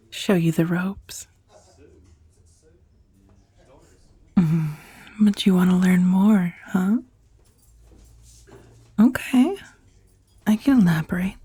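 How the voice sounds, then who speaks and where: A woman playing a professional dominatrix on a blind date in a soft-spoken ASMR roleplay, breathy and often near a whisper, confiding and teasing. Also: mid-range voice, no echo, exaggerated voice. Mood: teasing, flirty, then confiding, calm. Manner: hesitant, confiding, teasing, with sighs. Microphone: close, dry ASMR microphone.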